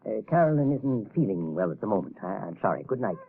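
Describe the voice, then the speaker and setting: An actor playing a nervous, evasive male professor, stammering and husky in a 1930s radio drama. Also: lowish voice, very animated, fast, slight background noise. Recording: tinny 1930s radio broadcast.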